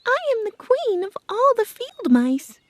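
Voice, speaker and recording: A woman voicing a tiny, regal female mouse queen in a storybook reading, with a squeaky, excitable, lilting delivery. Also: measured pace, dry recording, heavily acted. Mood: gracious.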